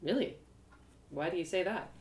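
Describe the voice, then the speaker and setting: A woman's voice: fairly low pitch, moderate pace, a little mellow, lightly acted. A woman playing a plucky but self-doubting young adventurer girl in a fantasy audio drama, musing hesitantly. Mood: hesitant, wistful. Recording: roomy home live reading.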